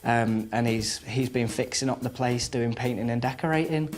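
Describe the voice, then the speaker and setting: A man's voice: medium pitch, normal speed, soft background music. A man, a support assistant speaking informally in an interview about his work.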